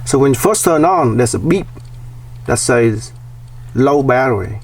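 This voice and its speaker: A man's voice: medium pitch, lively intonation, unhurried, gravelly. Guy doing a casual diy walkthrough, plain short phrases, bit far from the mic, some background noise. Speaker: DIY tutorial host. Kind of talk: casual hands-on demonstration narration.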